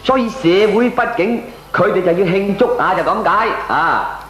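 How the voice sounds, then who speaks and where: A good-humoured, thrifty everyman explains things in a Hong Kong accent. The voice is fairly high and very animated, in the expressive manner of a comic radio play, with clear room echo. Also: moderate pace. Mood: explaining. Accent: Hong Kong Cantonese. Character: frugal, talkative, good-humoured ordinary man. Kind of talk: comic radio drama acting. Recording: echoey old radio drama recording.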